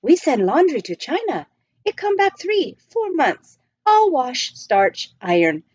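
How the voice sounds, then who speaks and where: A woman voicing a brisk, polite male immigrant laundryman in a storybook, speaking clipped broken English. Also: sits high, sing-song, darkish tone, no room sound, lo-fi sound, voice pushed up, heavily acted. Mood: matter-of-fact.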